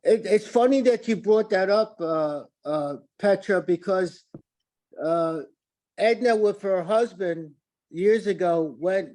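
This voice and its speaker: Man with a high, mellow voice, halting casual talk, video call participant. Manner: halting, many fillers. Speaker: participant helping guest. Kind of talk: casual chat.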